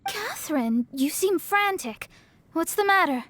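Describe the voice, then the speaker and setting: Proud young female astrologist, high-pitched, self-important, brisk, anime game acting. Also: very animated, fairly bright tone, no room sound, exaggerated voice. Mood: self-assured. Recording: music behind the voice.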